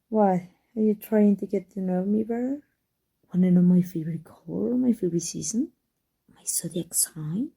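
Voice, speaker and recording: A woman's voice: on the low side, vocal fry, warm tone, husky, heavily acted, poor audio. A woman playing a sarcastic, prickly female supervillain who taunts a rival in a theatrical ASMR roleplay drama.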